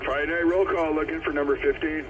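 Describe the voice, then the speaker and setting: A man's voice: on the high side, steady tone, fast. Tinny CB radio man running roll call. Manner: repetitive, procedural calling out of numbers. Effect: CB radio transmission. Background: radio static and noise.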